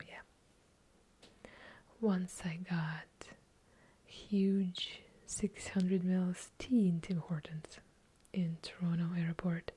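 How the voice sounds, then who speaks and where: A woman with an Eastern European accent whispering softly through a casual ASMR question-and-answer chat, correcting herself now and then. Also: lowish voice, slightly rough. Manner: soft unvoiced whispering, unhurried, chatty with small self-corrections.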